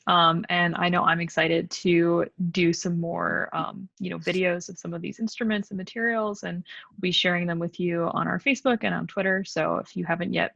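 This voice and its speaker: A woman's voice: on the low side, vocal fry, a bit bright, no echo. A woman hosting a casual interview, speaking informally to her guest and viewers, in a dull, dry recording. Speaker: interviewer and host.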